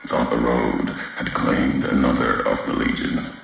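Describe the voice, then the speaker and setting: An actor playing a brooding, vengeful wasteland wanderer obsessed with history in a video game, speaking in cryptic, clipped phrases with weighty pauses. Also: male voice, fairly even intonation, full character voice. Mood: ominous, reflective. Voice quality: very deep, gravelly. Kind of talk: slow brooding game dialogue monologue. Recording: heavily echoing voice, faint background noise.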